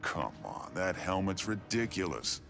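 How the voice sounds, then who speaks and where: An actor playing a gruff, wisecracking demon hero in a video game, gravelly, blunt and sardonic. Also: male voice, low voice, very animated, unhurried, full character voice. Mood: mocking. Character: gruff wisecracking demon brawler, grown male monster hero. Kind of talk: video game character dialogue. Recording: game music behind.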